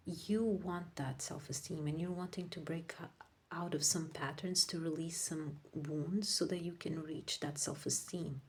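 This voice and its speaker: A woman whose first language is Portuguese, giving a casual tarot reading to viewers, rambling and correcting herself, often dropping into soft whispers. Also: low voice, slight background noise. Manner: drifts into soft whispers while laying cards. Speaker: tarot reader.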